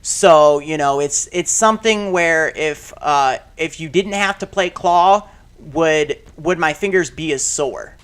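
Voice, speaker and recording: Raspy, rambling gamer guy, a bit high. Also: slow. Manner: rambling, many fillers and restarts, sudden loud outbursts at the game. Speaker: gaming channel host. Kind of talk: casual commentary while playing a shooter game.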